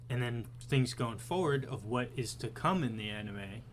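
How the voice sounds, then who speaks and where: A man in the middle of an ordinary pitch range, co-hosting a podcast. He gets excitable and swears freely, and his voice jumps in loudness when he gets worked up. A faint hiss of noise runs behind him. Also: moderate pace. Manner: excitable, profane, animated swings in loudness. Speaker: podcast co-host. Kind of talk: casual chat.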